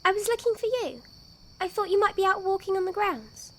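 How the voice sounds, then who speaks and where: A woman's voice: very high-pitched, lively intonation, brisk. A woman playing a sweet, evasive little girl with a coy, innocent manner in a gothic audio drama. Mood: coy innocence. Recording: faint background noise.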